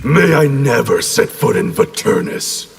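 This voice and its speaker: An actor playing a cold, imperious ninja warrior in a video game, with a gravelly voice. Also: male voice, medium pitch, very animated, measured pace. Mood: cold disdain. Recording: loud music behind.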